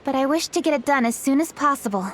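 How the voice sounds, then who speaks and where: A woman's voice: high-pitched, expressive, quick pace, slight background noise. A woman voicing a polite, composed and hardworking young lady official in an anime video game. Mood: businesslike, polite. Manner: polite, composed, formal phrasing.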